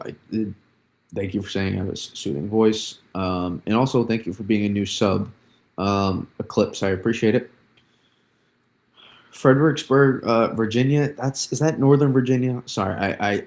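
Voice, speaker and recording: A man with vocal fry giving casual live weather commentary, often halting and restarting his words as he thinks. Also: lowish voice, a little mellow. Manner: halting, repeats and restarts words mid-thought. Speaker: livestream weather analyst.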